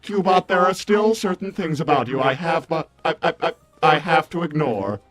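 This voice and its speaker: A man's voice: on the high side, expressive, normal speed, a bit bright, soft background music. A man voicing a prim, wordy, vain robot assistant in a fussy, formal, mildly sardonic livestream roleplay. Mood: prim, dry. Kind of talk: improvised in-character livestream roleplay.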